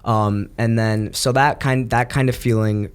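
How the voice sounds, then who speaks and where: A young man from the United States, a podcast guest, telling his story in an earnest, reflective and halting way. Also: medium pitch, steady tone, clean tone. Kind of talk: casual interview.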